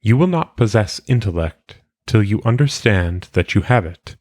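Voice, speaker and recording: A man reading philosophical maxims aloud in a solemn audiobook style, with measured, deliberate phrasing. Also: fairly low pitch, animated, a little mellow, no echo. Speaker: reader.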